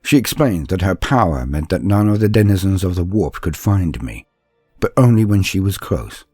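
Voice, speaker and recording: Guy playing a young psychic apprentice narrating his own tale, earnest n reflective, deep voice, lots of rise and fall, very dry recording. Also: expressive, darkish tone, husky, no echo, faint music behind. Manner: dramatic, measured first-person recollection. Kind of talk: scripted audio story narration.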